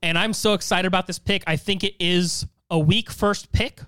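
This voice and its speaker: A man co-hosting a podcast, casually explaining and bantering, often repeating words in quick bursts. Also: sits high, fairly even intonation, fairly bright tone, smooth, no room sound. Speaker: podcast co-host running the game.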